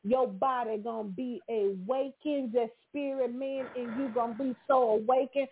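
A woman's voice: fairly high pitch, fairly even intonation, moderate pace, slight background noise. Fervent woman praying over a phone line. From Texas. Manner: fervent, repetitive, exclaiming, speaking in tongues. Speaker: prayer line host. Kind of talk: fervent spontaneous prayer.

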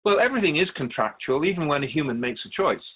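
A man playing a serene, wise otherworldly keeper of souls in a radio drama, speaking in a measured, formal, instructive manner. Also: on the high side, lively intonation, breathy edge, phone-quality sound. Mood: gently admonishing.

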